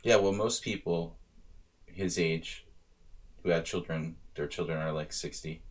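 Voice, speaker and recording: A man's voice: deep voice, steady tone, measured pace. A man in his thirties casually commenting on his chess games on a live stream, joking with chat as he thinks aloud about moves. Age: 30s.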